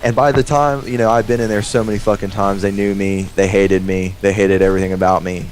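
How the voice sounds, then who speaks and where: A man's voice: on the low side, a little fast, a little hiss or hum, low-quality recording. A young man telling a story casually in a rambling, profane way, acting out dialogue as he goes. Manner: rambling, profane, animated retelling with quoted dialogue. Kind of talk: casual storytelling over gameplay.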